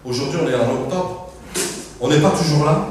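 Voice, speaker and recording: Francophone male preacher, high-pitched and emphatic, in a very reverberant hall and heard from a distance. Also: moderate pace, a little hiss or hum. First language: French. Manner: emphatic preaching with call-and-response and frequent hallelujahs; lively pitch swings, drops to quiet asides and jokes. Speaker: pastor. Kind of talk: Pentecostal sermon. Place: large church hall. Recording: very echoey hall, mic at a distance.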